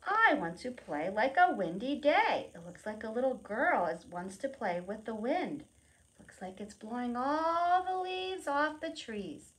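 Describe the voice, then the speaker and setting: A woman presenting a preschool storytime, reading aloud and explaining in simple, expressive phrases with questions for young children. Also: on the high side, a little slow, poor audio.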